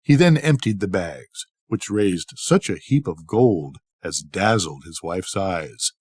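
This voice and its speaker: An older man reading a scripted bedtime story in an expressive, measured storytelling manner. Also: deep voice, slightly rough, no room sound, clean studio sound. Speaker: storybook reader.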